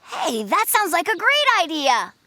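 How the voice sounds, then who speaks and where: An actor voicing a careful, patient little brown bear boy in a children's cartoon, earnest and gently advising. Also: very high-pitched, huge pitch swings, unhurried, slight background noise, full character voice. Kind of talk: children's animated cartoon dialogue. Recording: cheerful children's music behind the voice.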